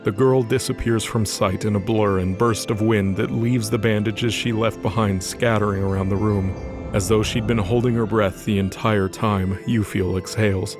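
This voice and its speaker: Low-voiced man narrating a fantasy story. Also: steady tone, moderate pace, music in the background.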